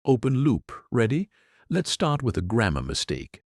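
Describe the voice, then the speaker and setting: A man giving a scripted English lesson, explaining clearly and patiently in an encouraging way. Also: mid-range voice, wildly swooping pitch, moderate pace, dry recording, studio quality. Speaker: English teacher. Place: in Europe.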